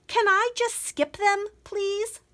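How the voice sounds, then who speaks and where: An eager young princess with a head for science, voiced by a woman reading a storybook. Her voice is high and very changeable in pitch. She speaks slowly and expressively, with wide-eyed inventiveness. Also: big pitch swings, fairly bright tone, full character voice. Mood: pleading, stubborn.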